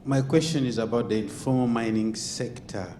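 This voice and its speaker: A man's voice: medium pitch, steady tone, moderate pace, mellow. A man from Uganda, an audience member, politely asking the panel a formal question. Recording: echoey hall, noisy.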